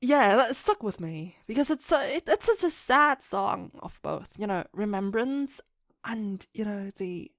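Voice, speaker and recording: Animated woman chatting, dry close sound. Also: mid-range voice, a little slow, dry recording. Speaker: podcast guest, fan musician and writer. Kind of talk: casual chat.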